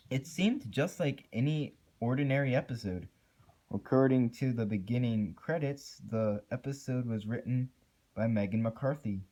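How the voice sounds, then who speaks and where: A man's voice: mid-range voice, darkish tone. A man giving an amateur dramatic reading of a first-person horror story. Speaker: amateur creepypasta narrator.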